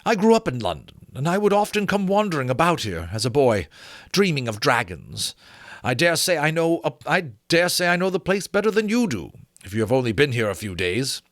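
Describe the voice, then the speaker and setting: A man voicing a learned, apologetic, fretful gentleman in a formal, emphatic storybook reading. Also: on the high side, very animated, brisk, dry recording, studio quality, pitched above the performer's own voice. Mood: courteous. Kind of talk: dramatic audiobook reading.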